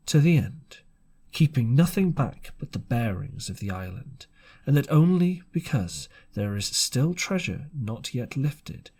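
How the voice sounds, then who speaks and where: Slow-paced man reading a storybook. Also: medium pitch, a little mellow, breathy edge. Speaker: audiobook narrator. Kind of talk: scripted audiobook narration.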